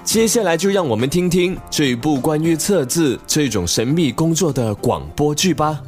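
Animated male presenter over music. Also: fairly high pitch, normal speed. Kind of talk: scripted radio drama introduction.

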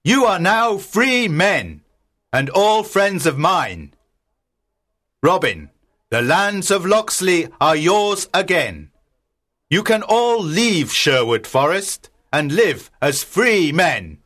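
A man's voice: high voice, sing-song, takes it slowly, fairly bright tone, pitched above the performer's own voice, heavily acted. A man putting on a stately weary king. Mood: solemn regal. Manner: formal, stately. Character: weary noble male king disguised as knight.

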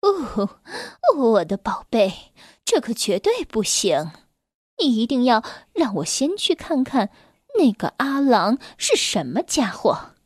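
A woman's voice: fairly high pitch, big pitch swings, slow, slightly rough, no room sound, studio quality, heavily acted. A woman voicing a protective mother sheep who is indignant and demanding.

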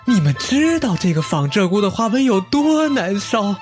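A man acts out a shrewd young antiques connoisseur in an audio drama, his voice very lively in pitch over clear music. He speaks like an enthusiastic, expressive storyteller. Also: moderate pace. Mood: delighted. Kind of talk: Chinese audio drama acting.

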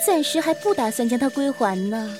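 A woman's voice: high voice, lively intonation. A woman playing a bold, playful young female assassin who teases coquettishly in a Chinese period audio drama. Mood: teasing. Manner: coquettish, teasing, drawn-out. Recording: background music under the voice.

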